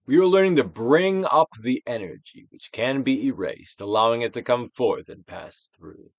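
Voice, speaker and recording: A man giving a dramatic, theatrical reading of a self-help book, moving between hushed near-whispers and emphatic projection, with deliberate pauses. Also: medium pitch, expressive, creaky, no echo, lo-fi sound. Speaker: audiobook reader, actor.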